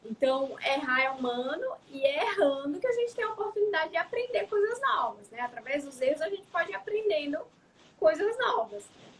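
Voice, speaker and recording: A woman's voice: very high-pitched, expressive, a little fast, a bit bright, low-quality recording. A young adult woman, a psychologist, talking in a casual interview, reflective and often adding tag questions. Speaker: psychologist guest.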